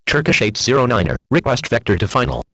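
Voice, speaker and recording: A man's voice: mid-range voice, quick pace, gravelly, fairly bright tone. A man speaking clipped aviation phraseology over an air traffic radio transmission. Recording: dull, band-limited air traffic radio.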